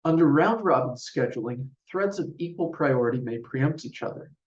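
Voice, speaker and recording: A man giving a scripted technical conference presentation in a measured, formal, explanatory way. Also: medium pitch, animated. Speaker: researcher presenting a paper. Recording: roomy, distant mic, dull sound.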